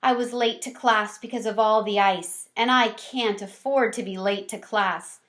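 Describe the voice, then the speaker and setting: A woman voicing a warm, hardworking male night janitor in a storybook, sincere and exclamatory. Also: medium pitch, steady tone, a little slow, close to an everyday voice. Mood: exasperated.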